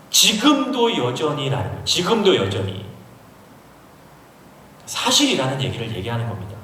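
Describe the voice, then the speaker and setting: Pastor preaching in a booming hall. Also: male voice, fairly bright tone, a little hiss or hum. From Seoul. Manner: explains and asks the audience questions. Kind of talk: sermon. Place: large university auditorium. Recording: echoey hall from far off.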